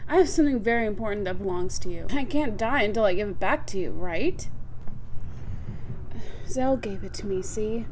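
A woman's voice: mid-range voice, animated, normal speed, darkish tone, a little hiss or hum, lightly acted. A woman playing a sweet, playful young female adventurer in a video game fan dub, with a coy, teasing, conversational manner. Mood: earnest, coy.

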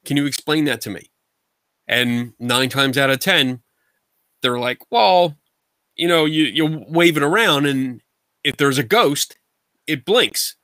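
A man's voice: a little high, slow, pauses often, no room sound. A middle-aged man from the United States, a guest paranormal investigator talking bluntly and animatedly over a video call from his home. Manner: animated, gestures a lot, blunt and colloquial. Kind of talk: casual video call interview. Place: home room full of collectibles.

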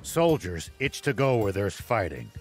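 Stern old veteran, measured, animated intonation, video game cutscene. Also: male voice, on the low side, soft background music. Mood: grave, steady. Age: older.

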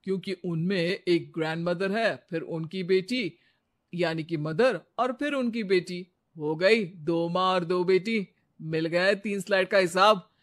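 A man voicing a teasing, self-assured young husband in an animated story. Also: high-pitched, unhurried. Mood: challenging.

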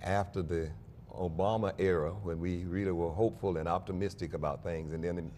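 Low, mellow older pastor. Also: male voice, fairly even intonation, a little hiss or hum. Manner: measured, earnest, with small restarts. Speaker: pastor and convention guest. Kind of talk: studio interview. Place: small TV studio set. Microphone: lapel mic.

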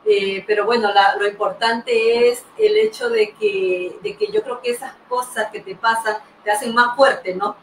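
A woman's voice: fairly high pitch, very animated, unhurried, hardly any pauses. A middle-aged woman hosting a conversational interview over a video call. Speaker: interview host. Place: indoor room, video call. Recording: echoey, distant mic with noise.

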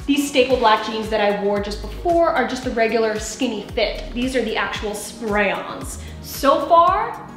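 A woman in her thirties doing a try-on haul, chatty and playful, moving and posing as she talks to a camera set back in a bright room at home. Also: a little high, echoey room. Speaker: fashion vlogger.

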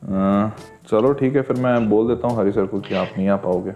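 A man's voice: on the low side, moderate pace, dark tone, lo-fi sound. Male, thirties, playful teacher making casual prank chat, light music bed. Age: 30s. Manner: playful, teasing. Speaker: online teacher. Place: indoor teaching studio. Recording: background music under the voice.

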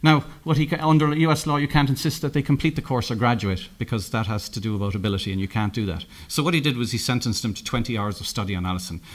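A man's voice: mid-range voice, steady tone. Irish man telling anecdotes at a lectern. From Ireland. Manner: anecdotal, halting with many restarts and self-corrections. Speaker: keynote speaker. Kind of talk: conference keynote talk. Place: conference room, indoors.

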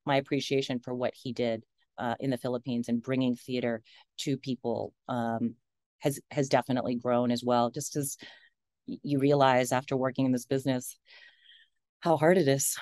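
A low-voiced woman in her forties talks on a video call as an interview guest. She is animated, restarts often and laughs easily. The sound is dry and close. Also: moderate pace, dry recording. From United States.

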